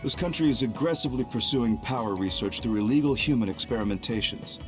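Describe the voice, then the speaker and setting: An actor playing a stern, calm military commander and scheming reformer in an English game dub, speaking formally and deliberately. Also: male voice, medium pitch, lightly acted. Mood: grave, resolved. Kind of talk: English-dubbed video game cutscene acting. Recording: music behind the voice.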